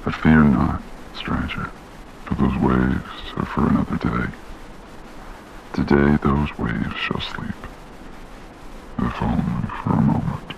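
Extremely deep, gravelly, ominous entity. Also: male voice, steady tone, dull audio, slight background noise, exaggerated voice. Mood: ominous calm. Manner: slow, cryptic, grandiose. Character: ominous, solemn male entity addressing a stranger before trials. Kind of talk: scripted horror game monologue.

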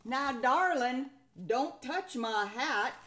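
A woman's voice: sits high, lively intonation, slow, a bit bright, exaggerated voice. A woman voicing a storybook Old West sheriff with drawling, folksy cowboy talk. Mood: firm warning. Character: gruff-talking Old West sheriff, devoted to his hat.

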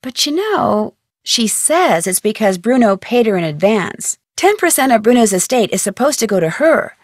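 An actress voicing a curious, plucky young female detective in a video game, with a brisk, wry tone. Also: on the high side, very animated, no room sound, studio quality. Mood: inquisitive. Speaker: game character, teen detective.

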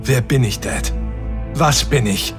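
A man's voice: mid-range voice, big pitch swings, slow, over music. An actor playing an agitated young man confronting his long-lost father. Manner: agitated and demanding. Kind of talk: audio drama acting.

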